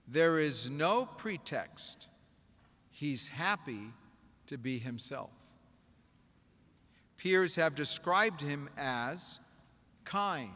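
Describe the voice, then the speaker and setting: A man reading a formal award citation in a slow, measured, ceremonial way. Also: a little high, bright, forceful tone. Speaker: presenter of school award. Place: large convention hall. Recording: echoing hall over a PA.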